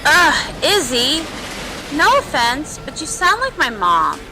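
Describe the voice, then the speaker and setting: A woman's voice: high-pitched, huge pitch swings, takes it slowly, over music, exaggerated voice, low-quality recording. A woman voicing a self-absorbed, dramatic young princess pony with a sassy, whiny manner. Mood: exasperated. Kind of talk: cartoon voice acting in a comic read-along.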